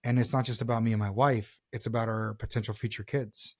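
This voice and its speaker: A man's voice: on the low side, level tone, brisk, a little mellow. A man in his thirties talking earnestly in a reflective personal conversation, often repeating and rephrasing himself as a podcast co-host. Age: 30s.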